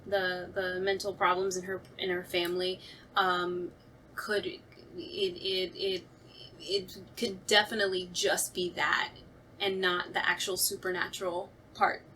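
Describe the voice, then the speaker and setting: Woman just chatting about stuff she wrote, really bright voice, animated and informal. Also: fairly low pitch, unhurried, slight background noise, low-quality recording. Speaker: writer and voice actor on a radio play talkback. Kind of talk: casual chat.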